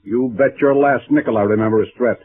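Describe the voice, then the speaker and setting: A man's voice: medium pitch, a little fast, faint music behind, lightly acted. An actor playing a hostile, sarcastic, bossy businessman in a 1950s radio drama, with biting impatience. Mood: angry, scornful. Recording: muffled vintage radio recording.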